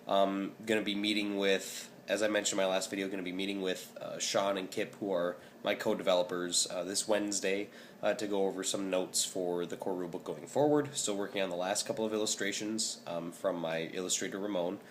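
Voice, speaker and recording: Young man, twenties, low and hoarse-voiced, casual vlogger full of filler words. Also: steady tone, a little fast, slight background noise. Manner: rambling, many ums and restarts. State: hoarse, scratchy voice. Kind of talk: casual vlog update.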